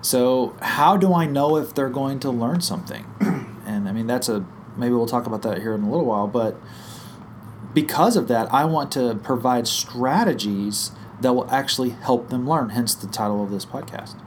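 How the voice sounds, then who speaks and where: A man with a hoarse, croaky voice from a head cold, explaining a teaching idea in a relaxed podcast chat, thinking aloud with fillers and restarts. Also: medium pitch, moderate pace, slight background noise. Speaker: guest teacher on small group ministry.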